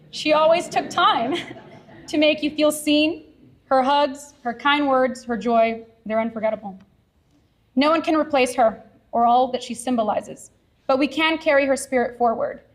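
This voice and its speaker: A woman from Texas, a science teacher, giving an earnest, measured award acceptance speech at a ceremony podium. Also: sits high. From Edinburg, Texas.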